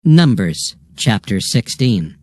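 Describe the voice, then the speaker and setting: A man's voice: lowish voice, big pitch swings, slow pace. A man narrating dramatized scripture in a solemn storytelling manner. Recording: loud music under the voice.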